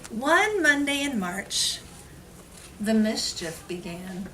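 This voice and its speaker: A woman's voice: on the high side, big pitch swings, takes it slowly, bright, forceful tone. A woman telling stories to young children at an elementary school, explaining in simple, repeated phrases with dramatic emphasis. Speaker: elementary school read-aloud storyteller. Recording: distant mic, noisy room.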